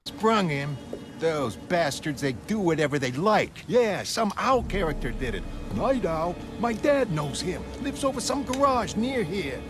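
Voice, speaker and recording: A man acts out an angry comic-book gang thug, his voice raised in pitch and swinging widely. Music plays behind him. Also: big pitch swings, a little slow. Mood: angry, aggressive. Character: angry, thuggish male street gang member from comic.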